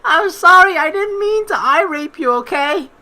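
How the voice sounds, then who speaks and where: A woman's voice: very high-pitched, expressive, normal speed, sharp tone, a little hiss or hum. A young woman doing playful, slangy let's play commentary and reading game narration aloud.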